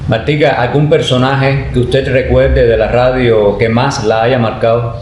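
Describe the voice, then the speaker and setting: A man's voice: medium pitch, level tone, unhurried, darkish tone. Echoey male interviewer. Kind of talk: polite, formal interview questions. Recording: roomy, echoey, faint noise.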